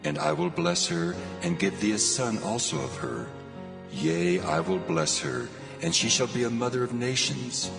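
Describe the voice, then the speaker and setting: A man's voice: mid-range voice, sing-song, moderate pace, gravelly. A man voicing God as a solemn, commanding deity in a declamatory dramatized scripture reading. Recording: long cavernous reverb, loud music behind.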